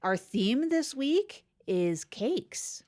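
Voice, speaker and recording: A woman playing an earnest, folksy small-town co-host on a comedy podcast, halting and agreeable, often repeating herself. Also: high voice, big pitch swings, darkish tone, exaggerated voice. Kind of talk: improvised comedy chat in character.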